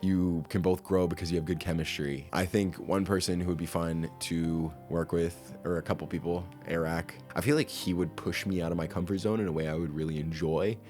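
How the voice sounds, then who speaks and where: A twenty-year-old man talks through questions about his own year in an easy, candid way, poking fun at himself. His voice sits low. Soft music plays faintly underneath. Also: level tone, brisk, few pauses. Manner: candid, chatty, self-deprecating. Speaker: vlogger answering yearly self-interview questions. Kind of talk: casual self-interview.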